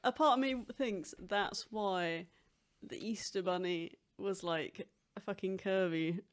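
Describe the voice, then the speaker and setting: A woman's voice: medium pitch, takes it slowly. A woman co-hosting a podcast, chatting casually with friends in blunt, sweary, joking banter. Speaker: podcast co-host. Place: indoors, three people in one room.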